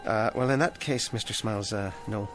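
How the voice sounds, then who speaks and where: A man's voice: on the low side, a little fast, faint music behind, close to an everyday voice. An actor playing a hapless, eager ventriloquist down on his luck, hesitant and polite, in a radio drama. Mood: awkward. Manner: hesitant, stammering, earnest, polite.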